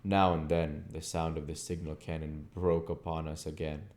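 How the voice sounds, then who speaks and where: Very deep, mellow-voiced male reader. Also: level tone, moderate pace. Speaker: audiobook reader, channel host. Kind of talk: scripted narration of a classic novel.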